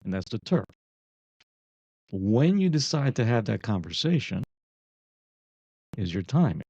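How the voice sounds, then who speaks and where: A man co-hosting a casual advice talk, explaining things deliberately and checking in with his listener, on a slightly muffled recording. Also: lowish voice, sing-song, creaky, a little mellow, slightly rough, no echo. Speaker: podcast co-host.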